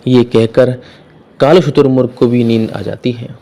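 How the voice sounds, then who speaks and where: A man's voice: mid-range voice, steady tone, moderate pace, gravelly, dark tone. A man narrating a scripted children's story.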